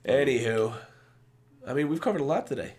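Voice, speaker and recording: A man's voice: medium pitch, expressive, fairly quick, a little mellow. A man in his late twenties co-hosting a podcast, chatting casually about sports and making jokes. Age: 20s. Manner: joking, rambling. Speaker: podcast co-host.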